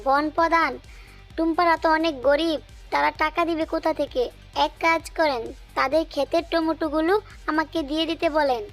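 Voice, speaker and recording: A woman's voice: high-pitched, fairly even intonation, moderate pace, faint music behind. A woman playing a sly, scheming animal wife in a cartoon, cunning and insistent. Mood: feigned innocence. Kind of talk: cartoon dialogue.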